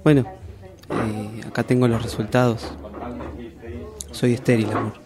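Slow, halting Argentine husband acted by a man. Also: medium pitch, flat delivery, crowd noise, natural voice. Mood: uneasy. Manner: halting, hesitant. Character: hesitant, uneasy husband breaking bad news. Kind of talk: amateur radio drama workshop dialogue.

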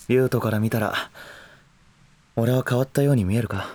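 A man acting a tall young lover in a Japanese voice drama, speaking casually, softly and intimately, devoted and a little pushy. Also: medium pitch, takes it slowly, dry recording, lightly acted. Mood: gentle, casual. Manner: casual masculine speech, soft and intimate.

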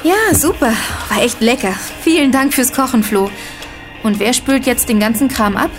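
An actress playing a sensible, reassuring young psychologist in a German radio play, speaking casually. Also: female voice, fairly high pitch, moderate pace, over music, natural voice.

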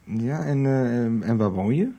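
Cocky flirty twentysomething dude in a radio soap, soft mellow husky voice. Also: male voice, medium pitch, lively intonation, unhurried, muffled recording. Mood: flirtatious. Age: 20s. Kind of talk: 1990s Dutch radio soap dialogue.

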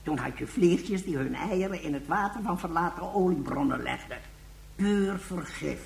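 A woman playing a courteous, knowledgeable elderly character in a radio play, with a creaky voice and a halting, explanatory manner. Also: fairly low pitch, lively intonation, a little mellow. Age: older. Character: courteous, knowledgeable elderly person, leisurely storyteller. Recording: echoey room sound.

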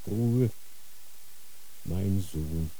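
A feverish dying old Grand Inquisitor. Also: male voice, deep voice, mellow, exaggerated voice. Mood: solemn. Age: older. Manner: slow, solemn dictating with sudden feverish outbursts. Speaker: actor in a radio drama. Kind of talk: radio drama acting.

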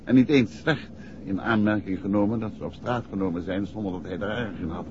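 An actor playing a self-assured, wry detective husband with a brisk, teasing manner, in 1960s radio drama style. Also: male voice, lowish voice, natural voice. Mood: matter-of-fact. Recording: dull, noisy 1960s radio recording.

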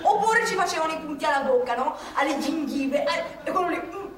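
Lively old partisan lady telling war stories, high voice, echoey stage far from the mic. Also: a little fast. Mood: recounting. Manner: animated, chatty, acts out past scenes. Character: elderly woman, former partisan hospital helper, lively storyteller. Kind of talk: stage monologue in a radio play. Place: theatre stage.

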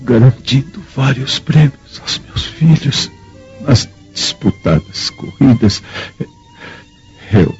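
An actor playing an ailing, weary old Roman patriarch in a Brazilian radio drama, with a breathy, faltering voice and Brazilian accent. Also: male voice, medium pitch, exaggerated voice. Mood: earnest, weak. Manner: slow, halting delivery. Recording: muffled audio with faint music.

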